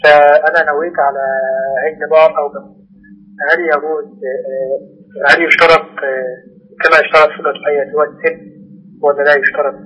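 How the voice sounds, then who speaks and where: A man's voice: on the high side, little pitch movement, fast talker, pauses often, pushed and bright, slightly rough, soft background music, low-quality recording. A man with an Egyptian accent calling a religious advice show, putting his questions spontaneously and deferentially. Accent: Egyptian Arabic.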